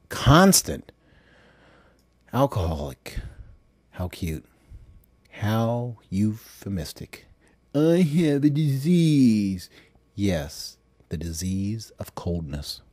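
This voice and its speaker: Man acting bitter middle-aged agent, low mellow voice. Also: breathy edge, dry recording, exaggerated voice. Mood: scornful. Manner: sardonic, deliberate ranting. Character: bitter, self-pitying middle-aged male FBI agent, dictating confessions. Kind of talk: dramatized audiobook monologue.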